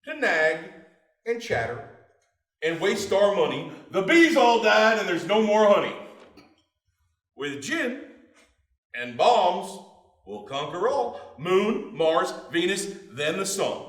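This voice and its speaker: A man's voice: high voice, big pitch swings, slow, pauses often, a bit bright, exaggerated voice. A man playing a menacing boogeyman judge who chants theatrical nonsense rhymes on stage. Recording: echoey room, far from microphone.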